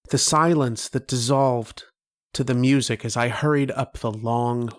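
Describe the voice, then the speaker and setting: A man playing a haunted, wistful narrator of a recurring dream in a radio drama, speaking poetically with long pauses. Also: mid-range voice, dry recording, clean studio sound, lightly acted. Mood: wistful, brooding. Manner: slow, poetic, brooding delivery with long pauses. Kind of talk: dramatic first-person radio horror narration.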